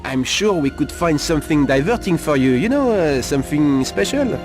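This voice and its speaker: An actor playing a sleazy, nervous Paris street informant in a video game cutscene, talking in a halting, insinuating way. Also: male voice, fairly high pitch, expressive, over music, close to an everyday voice. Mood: mocking, oily.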